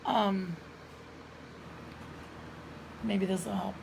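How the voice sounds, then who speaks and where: Hoarse, gravelly middle-aged woman vlogger. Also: medium pitch, very slow, background noise. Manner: earnest, chatty, addresses viewers directly. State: hoarse, coughing. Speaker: lifestyle vlogger. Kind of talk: casual personal storytelling to camera.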